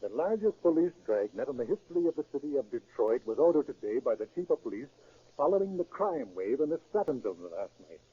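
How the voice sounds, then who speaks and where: An actor playing a confident, self-assured safecracker boss in a 1930s radio drama. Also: male voice, mid-range voice, big pitch swings, husky. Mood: amused. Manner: brisk, self-assured, emphatic. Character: cocky, confident male safecracking gang boss. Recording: muffled 1930s radio recording.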